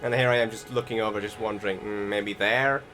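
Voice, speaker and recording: A man giving casual gameplay commentary, rambling and halting, often correcting himself as he goes. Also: lowish voice, fairly even intonation. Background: game music.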